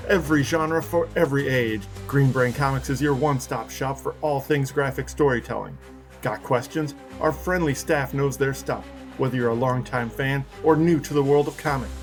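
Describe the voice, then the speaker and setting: A man reading a scripted promotional advertisement as a voiceover announcer. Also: medium pitch. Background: music.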